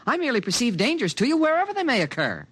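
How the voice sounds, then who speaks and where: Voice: medium pitch, huge pitch swings, racing, fairly bright tone, breathy edge, no echo, full character voice. An actor in an old-time radio drama playing an invisible alien protector who speaks formally and precisely, earnest and insistent with warnings.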